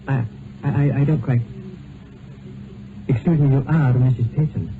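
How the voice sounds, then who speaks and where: A man acting a grave male doctor in a vintage radio play, formal and halting, with apologetic care. The sound is narrow as a phone line, with clear noise. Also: mid-range voice, fairly even intonation, close to an everyday voice. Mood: formal, uneasy. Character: grave, hesitant male doctor bearing bad news. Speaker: actor. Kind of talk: old-time radio drama acting. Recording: narrow, noisy old radio recording.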